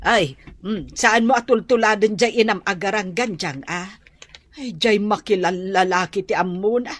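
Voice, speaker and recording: A woman's voice: mid-range voice, normal speed, a bit bright, close to an everyday voice. A woman playing a stern, scolding old Filipino grandmother in a radio drama, bossy and nagging.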